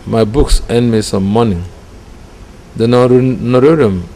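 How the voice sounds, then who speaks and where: A middle-aged Nigerian man with a Nigerian accent, a preacher giving personal testimony deliberately, phrase by phrase. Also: fairly low pitch, dark tone, poor audio. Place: indoor room with curtains. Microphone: microphone close in front. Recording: clear background noise.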